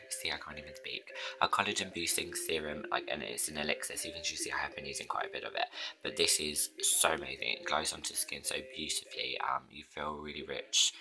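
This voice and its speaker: A man with a husky, hoarse voice chatting in a rambling way through his get-ready-with-me routine, often dropping to a whisper. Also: very deep voice, rough recording. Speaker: beauty vlogger.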